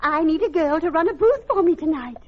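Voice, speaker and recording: An actress playing a gushing, pushy charity matron in an old radio sitcom, with fluttery, affected sweetness. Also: female voice, very high-pitched, expressive, brisk, a little hiss or hum. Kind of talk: 1950s radio sitcom acting before a live audience. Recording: muffled old radio recording with studio audience.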